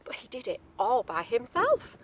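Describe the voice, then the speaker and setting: A woman's voice: a little high, wildly swooping pitch, normal speed, a bit bright, husky, no echo. A woman reading a picture book aloud to young children, expressively, with chatty asides and questions to her listeners. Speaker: storybook reader, teacher-like.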